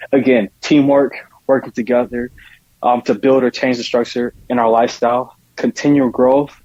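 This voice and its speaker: A man's voice: medium pitch, darkish tone, dry recording. A man answering as a guest in a conversational podcast interview. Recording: dull lo-fi sound, dry.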